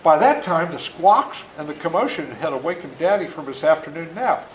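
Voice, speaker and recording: Folksy male storyteller, seventies, very animated delivery, reading to kids in an echoey room with faint noise. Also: on the high side, moderate pace. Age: 70s. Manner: folksy, interactive, acts out moments. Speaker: author reading his book to children. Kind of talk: animated storytelling read aloud to a young audience. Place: indoor room before a school audience. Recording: roomy echo, faint background noise.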